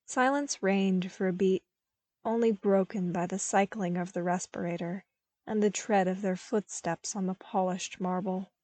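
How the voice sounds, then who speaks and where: A woman reading scripted story narration as an audiobook narrator, with careful, expressive delivery. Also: mid-range voice, moderate pace, dry recording. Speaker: podfic narrator.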